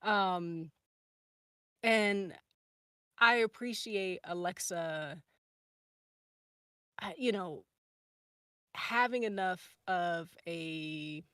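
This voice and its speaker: A woman's voice: on the low side, extremely slow, long pauses, fairly bright tone, clear, resonant tone, dry recording, clean studio sound. A woman on a podcast panel, chatting casually and appreciatively in a hesitant, rambling way. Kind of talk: casual group chat.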